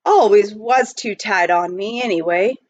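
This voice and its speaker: A woman's voice: medium pitch, unhurried, dull audio, natural voice. A woman reading a children's storybook, voicing a big reformed outlaw with a drawling, rustic, amused frontier way of talking. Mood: wry, teasing. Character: reformed outlaw, big man, folksy and teasing. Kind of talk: children's storybook read aloud.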